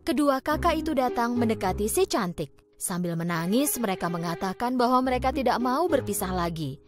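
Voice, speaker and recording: A woman narrating a children's fairy tale in an expressive, scripted storytelling style. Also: a little high, a little fast. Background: background music.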